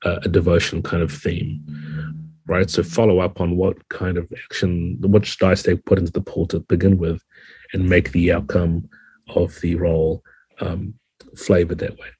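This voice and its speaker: A man's voice: low voice, flat delivery, normal speed, dark tone, slightly rough, poor audio. A man speaking as an interview guest, thoughtfully explaining game design in a conversational way.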